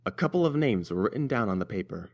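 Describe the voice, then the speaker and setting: A man's voice: lowish voice, big pitch swings, quick pace. A man narrating a scripted fan-fiction story as an audiobook reader.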